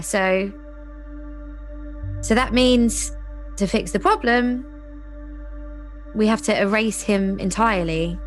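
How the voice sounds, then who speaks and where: A woman playing a composed, commanding ad agency executive in an audio drama, speaking deliberately. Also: on the high side, close to an everyday voice. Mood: solemn, apologetic.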